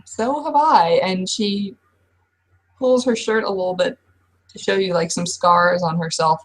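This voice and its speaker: A woman narrates her character's actions during a roleplaying session. Her voice is husky and a little low. The delivery is casual and conversational. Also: a little slow, low-quality recording. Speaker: roleplaying game player.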